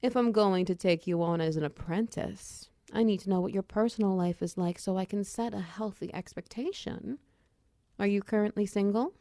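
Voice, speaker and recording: A woman's voice: medium pitch, moderate pace, no room sound, clean studio sound, close to an everyday voice. A woman playing a confident, flirtatious female minotaur wizard who runs a potion shop, speaking to the listener in intimate, teasing roleplay ASMR. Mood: assured, coaxing. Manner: teasing, coy, softly breathy in places.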